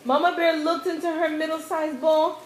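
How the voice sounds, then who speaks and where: A woman narrating a children's fairy tale for a puppet show, phrasing it deliberately as if reading aloud. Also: high-pitched, flat delivery. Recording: echoey room, faint background noise.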